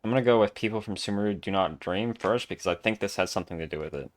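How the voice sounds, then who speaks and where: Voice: deep voice, quick pace. A game streamer giving casual, musing commentary and thinking aloud while playing.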